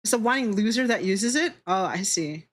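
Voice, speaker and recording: A woman's voice: medium pitch, lively intonation, brisk. A woman livestreamer chatting casually with her viewers in a playful, teasing, giggly way, swearing freely. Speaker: streamer, VTuber. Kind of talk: casual livestream chat with viewers while drawing.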